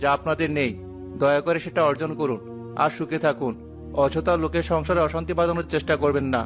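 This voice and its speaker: A man voicing a firm, kindly village husband and father in a cartoon, measured and reasoning. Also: on the high side, steady tone, over music, close to an everyday voice. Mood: stern.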